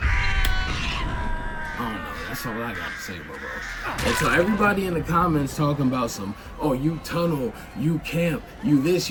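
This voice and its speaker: A man streamer delivers a loud, emphatic rant full of swearing, his voice sitting a little high for a man. Clear background noise sits under the recording. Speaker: game streamer. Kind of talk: ranting commentary.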